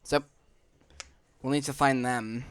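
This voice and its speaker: A man's voice: medium pitch, fairly even intonation, slow pace, smooth, no echo. A man giving casual gameplay commentary, rambling and thinking aloud as he hosts. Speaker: gaming video host. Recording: game music behind the voice. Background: video game music.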